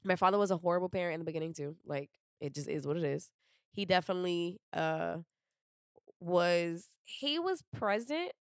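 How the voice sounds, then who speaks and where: Woman, young adult, podcast host, candid, emphatic, low-pitched, dry sound. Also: clear, resonant tone, dry recording. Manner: candid, emphatic, repeats phrases for stress. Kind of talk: casual podcast chat.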